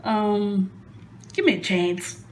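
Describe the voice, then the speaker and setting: A nervous Australian woman talks slowly and unhurriedly, filling her pauses with ums and soft self-conscious laughter. She chats casually about herself as she works at a craft table indoors, recorded on a phone. A faint background hiss sits under her voice. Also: medium pitch. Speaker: crafting vlogger. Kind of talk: nervous casual chat while diamond painting.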